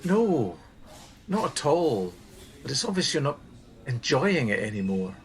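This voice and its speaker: A man's voice: mid-range voice, sing-song, measured pace, faint music behind. A man playing an earnest, tactful but insistent supervisor in a naturalistic radio play. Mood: careful. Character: earnest, firm male supervisor of a helpline team.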